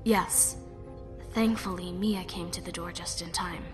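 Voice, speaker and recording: A woman voicing a quiet, guarded young female soldier who speaks softly and hesitantly, half-whispering. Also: fairly low pitch, steady tone, measured pace, over music, lightly acted.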